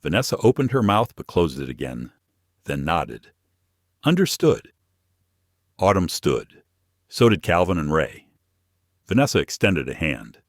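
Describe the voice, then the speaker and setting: Very deep-voiced man narrating a dramatic story. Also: very animated, moderate pace, no echo, clean studio sound.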